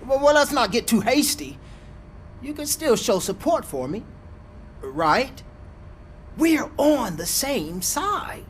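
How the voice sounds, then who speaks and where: A man's voice: very high voice, big pitch swings, slow, long pauses, slight background noise, full character voice. A man playing a slick, self-serving city mayor in a video game, with an oily, grandiose campaigner's delivery. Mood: persuasive, campaigning.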